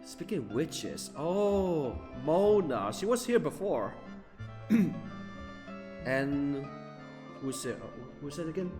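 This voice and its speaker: A man giving casual reaction commentary on a game, halting and muttering, often repeating himself. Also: on the high side, big pitch swings. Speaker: gameplay streamer. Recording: long reverb, game music behind.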